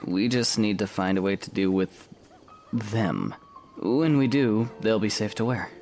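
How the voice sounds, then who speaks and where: A man playing a calm, stoic young swordsman hero with an understated, dry humour in a fan audio drama. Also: fairly low pitch, a little slow. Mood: reserved, reassuring.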